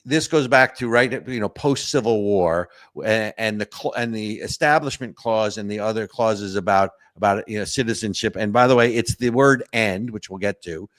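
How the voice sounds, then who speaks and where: A man with a lowish voice hosting a political talk show, winding through his points with restarts and constant "right?" and "you know". The recording is dry and close to the mic. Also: normal speed, hardly any pauses, a bit bright, dry recording. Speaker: host.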